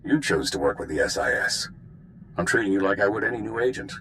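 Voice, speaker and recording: A stern spymaster hands out orders in a fairly low voice. He is curt, secretive and matter-of-fact, as in a dramatic game cutscene, with faint noise and ambience behind him. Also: expressive, quick pace, sharp tone. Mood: guarded. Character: curt, secretive Republic intelligence spymaster man giving orders. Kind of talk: dramatic video game voice acting.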